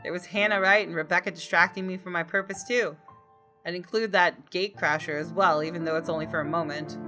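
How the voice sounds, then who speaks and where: Bright, forceful woman gamer. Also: lowish voice, fairly even intonation, brisk. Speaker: gaming YouTuber and host. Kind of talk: let's play, reading game narration aloud and commenting. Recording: faint music behind. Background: faint game music.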